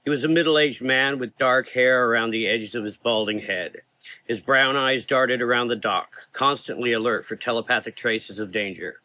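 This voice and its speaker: A man's voice: medium pitch, pushed and bright, no echo, poor audio. A man narrating a scripted gothic horror story in a dramatic, solemn manner.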